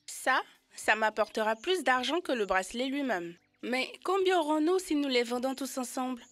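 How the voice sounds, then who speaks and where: High-voiced keen young elephant. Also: female voice, animated, moderate pace, fairly bright tone, no echo, faint music behind. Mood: enterprising. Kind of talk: French-dubbed educational children's cartoon.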